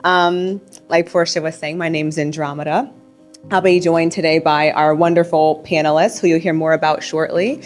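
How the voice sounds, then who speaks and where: A young woman with a notably low voice hosts a panel. She greets the audience warmly and asks her questions clearly, while soft music plays in the background. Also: steady tone, moderate pace. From United States. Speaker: event moderator. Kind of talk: moderating a panel event.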